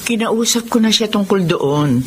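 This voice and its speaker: A woman with a Filipino accent playing a soft, earnest family woman in a radio drama. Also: medium pitch, expressive, unhurried, slight background noise, natural voice. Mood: calm, hopeful. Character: loving mother, or repentant grown daughter.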